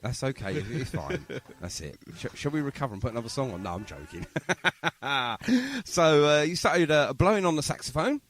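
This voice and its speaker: A male radio host in casual interview mode. His pitch moves up and down in a lively way as he rambles along, chatty and ready with a joke. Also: normal speed, hardly any pauses. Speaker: radio show and podcast host.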